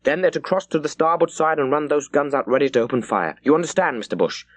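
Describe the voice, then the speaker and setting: A man's voice: on the high side, fast, dry recording, pitched above the performer's own voice, lightly acted. A man performs a commanding naval captain from a historical audiobook. He is curt and decisive, throwing out clipped, urgent orders in a dry, close recording. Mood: brisk, excited. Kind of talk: dramatised audiobook character.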